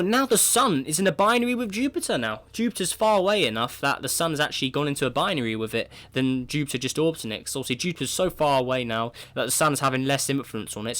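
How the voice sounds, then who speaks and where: A man with a cold talks through a space simulation game at a mid-range pitch. He keeps up a relaxed running commentary, often restarting with "right, so", and his throat sounds sore. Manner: chatty, thinks aloud, often opens with "right, so". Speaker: gaming video creator.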